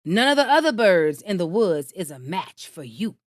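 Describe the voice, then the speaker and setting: A woman voicing a sly, smooth-talking male fox who flatters to get his way, in a storybook reading. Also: lowish voice, moderate pace, no room sound, clean studio sound. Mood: flattering. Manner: sweet-talking, coaxing.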